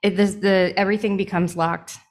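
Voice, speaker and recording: A woman's voice: mid-range voice, little pitch movement, fairly quick. A woman who writes musicals, explaining things casually and matter-of-factly as an interview guest.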